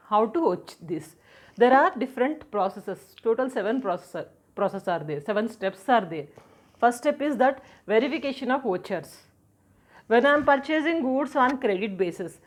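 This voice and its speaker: High-pitched Indian woman teaching. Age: middle-aged. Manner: didactic, asks and answers own questions, repeats key phrases. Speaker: commerce teacher. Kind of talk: instructional whiteboard lecture. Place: indoor room in front of a whiteboard. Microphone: clip-on lapel mic.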